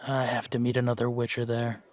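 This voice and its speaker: A man playing a world-weary, laconic monster-slaying mercenary in a fantasy roleplay audio drama, speaking in a measured, musing way with pauses. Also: mid-range voice, completely flat delivery, some chatter behind, close to an everyday voice. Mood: relaxed, musing. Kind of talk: fan-made roleplay audio drama, unhurried monologue to a companion.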